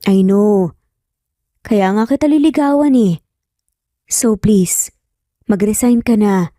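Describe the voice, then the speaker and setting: A woman plays an earnest young man in love from a romance audio story. He is gentle, teasing and sincere, mixing in English. The voice is mellow and dry. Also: medium pitch, takes it slowly, no room sound, natural voice. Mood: tender, sincere.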